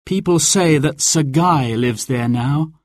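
A man's voice: on the high side, measured pace, dry recording, voice pushed up, lightly acted. A man voicing a brave, earnest young woman who is determined to join the outlaws in a storybook. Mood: grave. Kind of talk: storybook character voice.

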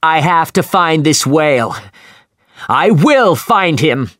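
Brief: narrator doing an obsessed one-legged sea captain, loud and drawn-out, slow delivery, dry close sound. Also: male voice, lively intonation, no room sound. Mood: vengeful, roaring. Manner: loud booming declarations, drawn-out words.

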